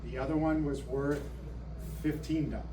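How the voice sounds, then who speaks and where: A man giving a casual report at a club meeting, halting with many ums and restarts, recorded far off in an echoey room. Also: a little high, steady tone, noisy background. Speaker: meeting presenter. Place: meeting hall.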